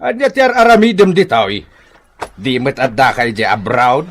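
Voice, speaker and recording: A man's voice: mid-range voice, huge pitch swings, normal speed, a little hiss or hum. Older Ilocano father, wildly swooping voice. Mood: agitated, angry. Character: older father, teasing, easily riled, doting on his daughter. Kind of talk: comedic radio drama acting.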